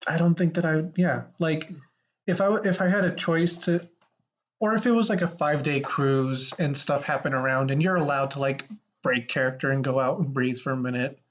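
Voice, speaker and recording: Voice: mid-range voice, moderate pace, slight background noise. Rambling podcast host, muffled sound. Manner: rambling, repeats phrases. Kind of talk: casual improvised banter.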